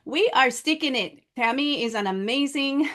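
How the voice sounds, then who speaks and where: A woman co-hosting a livestream, addressing viewers conversationally in measured phrases with some restarts. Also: high voice, lively intonation, a bit bright. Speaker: co-host.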